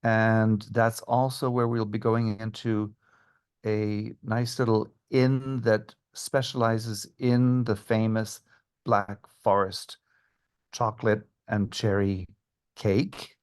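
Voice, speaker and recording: Low-voiced man explaining travel details. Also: level tone, takes it slowly, a little mellow, clean tone. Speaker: tour guide and historian guest. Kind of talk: informative interview answers about travel.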